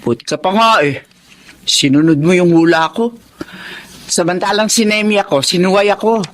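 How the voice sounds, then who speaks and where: A woman's voice: fairly low pitch, normal speed, slight background noise, natural voice. An actress playing a stubborn, defensive older woman fortune-teller in a radio drama, exclaiming and justifying herself. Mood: smug. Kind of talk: Tagalog radio drama acting.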